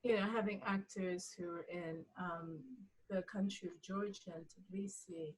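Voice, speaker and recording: A woman being interviewed as a guest, answering reflectively in a halting but emphatic storytelling manner. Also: lowish voice, steady tone, breathy edge, poor audio.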